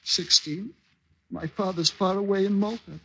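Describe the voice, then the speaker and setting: A man's voice: sits high, steady tone, measured pace, vocal fry, slightly rough, exaggerated voice, poor audio. An actor playing an earnest, polite sixteen-year-old sailor boy, deferential and innocent, in old-time radio drama style. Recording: old radio recording with noise.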